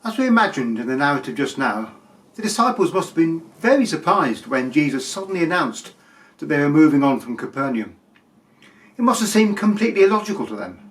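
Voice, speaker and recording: A man giving a sermon as a preacher, explaining things with emphatic pauses and repetition. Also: fairly high pitch, big pitch swings, a bit bright.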